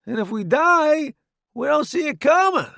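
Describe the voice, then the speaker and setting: A man voicing a brash, reckless young male soldier who shouts and jokes under fire. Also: very high-pitched, sing-song, unhurried, gravelly, no echo, studio quality, voice pushed up, full character voice. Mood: urgent shouting. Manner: loud, shouting over explosions.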